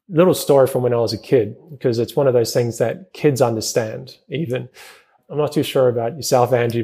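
A man speaks as an interview guest. His voice is dark and mellow with a husky edge. He talks fast, padding his answers with fillers and restarting phrases. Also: mid-range voice. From New Zealand. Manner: rapid speech with many fillers and restarts. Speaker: guest, crypto investment executive.

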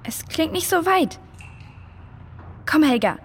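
A woman's voice: high voice, big pitch swings, takes it slowly, full character voice. A woman playing a caring, brave young girl in a children's audio drama. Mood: alert.

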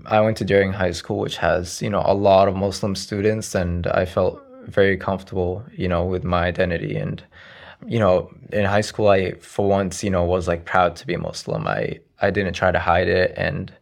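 A young man around twenty, raised in Iraq with Arabic as his first language, answering interview questions in a soft, hesitant way. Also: low-pitched, level tone, moderate pace, vocal fry. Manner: soft-spoken, hesitant, frequent "you know" fillers. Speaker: interview guest, young poet. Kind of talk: casual conversational interview.

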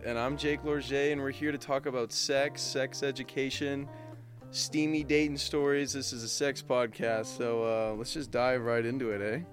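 A man's voice: medium pitch, level tone, moderate pace, faint music behind. A man in his twenties hosting a podcast in casual, jokey banter with crude humor. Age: 20s. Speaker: podcast host.

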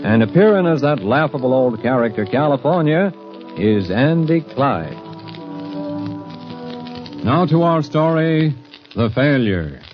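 A man's voice: medium pitch, big pitch swings, unhurried, pauses often. A man narrating and announcing a vintage radio Western in a dramatic, declamatory scripted style. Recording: muffled old radio recording, music behind. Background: music.

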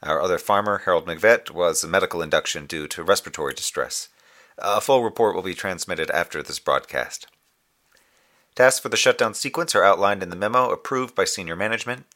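A man playing a dutiful moonbase communications officer in a sci-fi audio drama, delivering reports in a formal, measured, dryly wry manner. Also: low voice, pushed and bright. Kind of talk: scripted audio drama log broadcast.

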